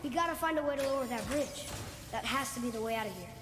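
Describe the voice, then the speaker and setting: A young boy adventurer with a high, chatty, earnest voice. He sounds proud and eager, as in a cinematic game. Long reverb and heavy background noise surround him. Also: quick pace.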